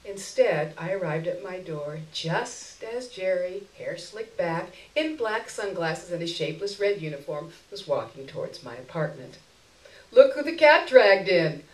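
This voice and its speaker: A woman's voice: lowish voice, animated, unhurried, few pauses. A woman reading a short story aloud expressively as a stage performance. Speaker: story reader. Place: roomy indoor stage event. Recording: roomy echo, recorded far from microphone.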